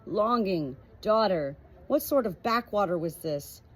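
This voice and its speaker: A woman's voice: medium pitch, lively intonation, measured pace. A woman voicing a self-pitying, elegant mother in a storybook, in a plaintive, theatrical manner.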